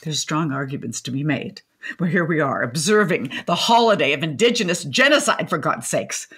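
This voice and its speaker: An actress playing an opinionated, garrulous older widow in a comedic radio play, with a wordy, theatrical, dryly sardonic delivery. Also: female voice, sits low, expressive, normal speed, fairly bright tone, husky, heavily acted. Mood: dry, disapproving. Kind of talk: comedic radio drama acting.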